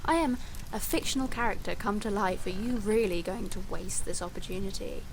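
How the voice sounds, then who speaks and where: A woman's voice: mid-range voice, fairly quick, close to an everyday voice. Woman acting a defiant female monster made of sound, audio drama, dramatic and articulate, noisy recording. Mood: indignant, defiant.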